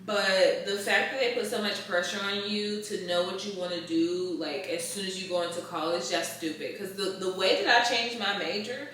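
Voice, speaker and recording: Echoey, bright-voiced woman in her late twenties. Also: medium pitch, moderate pace, few pauses. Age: late 20s. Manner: blunt, emphatic, informal slangy talk. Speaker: podcast host. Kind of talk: casual advice chat. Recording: roomy echo, distant mic, faint noise.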